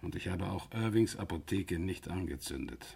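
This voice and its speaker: An actor playing an indignant bank director under suspicion in a 1960s German radio play, terse and insistent, with a husky voice. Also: male voice, sits low, expressive, normal speed, darkish tone, full character voice. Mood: weary, defensive.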